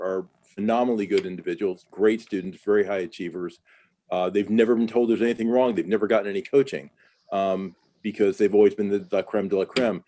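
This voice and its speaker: An American man on a webinar panel, his low voice mellow and dark. He answers in a candid, conversational way full of ums and uhs, heard through a video call microphone. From United States. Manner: conversational, candid, with many fillers.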